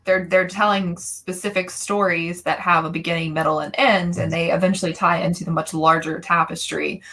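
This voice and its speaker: Female podcast guest, slightly low but bright and crisp-edged, animated casual fan talk. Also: moderate pace. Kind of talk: casual chat, fan discussion.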